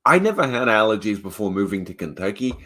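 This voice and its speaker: A man with a fairly low voice hosts a podcast and lays out numbers step by step. He is measured and a bit dry, and his nose sounds slightly congested. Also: big pitch swings, pushed and bright. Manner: measured, data-driven, dry humor. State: stuffed-up nose. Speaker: fantasy football podcast host. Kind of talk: analytical podcast talk.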